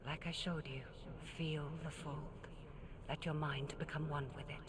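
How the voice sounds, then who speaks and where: An actress playing a solemn, regretful older woman mentor in a video game, tender and grave, with a breathy, often hushed delivery. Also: low voice, little pitch movement, moderate pace, lightly acted. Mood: gentle, instructing. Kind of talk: cinematic video game acting. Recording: noisy ambience behind the voice.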